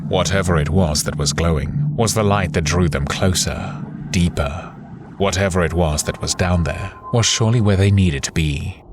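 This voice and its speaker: A man giving a dramatic scripted narration of an adventure story in a measured, suspenseful way. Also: low voice, noisy background. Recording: loud music under the voice. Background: loud music.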